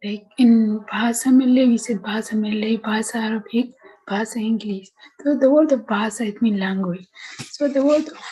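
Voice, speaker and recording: A woman's voice: fairly high pitch, warm tone, low-quality recording. Hesitant Somali woman teaching a class. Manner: hesitant, halting English with restarts. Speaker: language teacher. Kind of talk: online language class.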